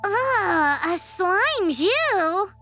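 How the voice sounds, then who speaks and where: A woman voicing a tiny, childlike fairy companion in a video game, fretful, excitable and quick to react. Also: very high-pitched, faint music behind, full character voice. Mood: curious, squeamish. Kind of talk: video game character acting.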